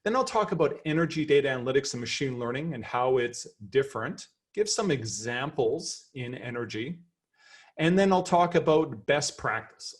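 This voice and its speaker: Chatty Canadian man giving a lecture. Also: a little high. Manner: chatty, self-deprecating, enthusiastic, with frequent restarts. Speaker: professor giving a seminar lecture. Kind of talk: lively seminar lecture.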